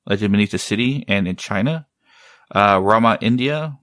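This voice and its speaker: A man's voice: fairly low pitch, normal speed, a bit bright, no echo, studio quality. A man hosting a casual chat, rambling and often searching for the right word.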